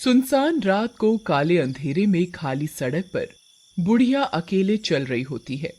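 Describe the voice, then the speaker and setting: Brief: male storyteller, high-pitched and lively, dramatic scripted horror narration with clear music behind. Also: unhurried, slight background noise.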